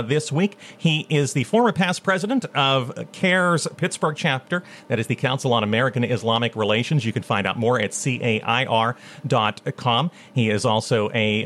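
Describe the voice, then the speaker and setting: A man's voice: medium pitch, a bit bright. A man hosting a radio talk program, asking questions casually and repeating words as he starts sentences. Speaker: radio show host. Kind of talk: radio interview.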